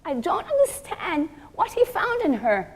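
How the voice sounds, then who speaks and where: A woman's voice: very high voice, wildly swooping pitch, a little slow, pitched above the performer's own voice, full character voice. A woman storyteller voicing a gossipy young woman who is chatty, emphatic and judgmental. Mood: surprised, judgmental.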